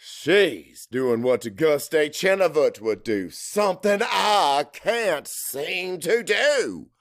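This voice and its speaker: A man's voice: fairly high pitch, lively intonation, slow pace, no room sound, clean studio sound. A man reading a first-person audiobook narration in a dramatic, brooding and intense manner.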